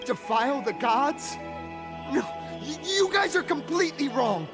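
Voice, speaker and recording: A woman's voice: high-pitched, big pitch swings, a little slow, music in the background. A woman playing a boastful, defiant young explorer in a video game, speaking in a grandiose, declamatory manner. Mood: boastful, proud.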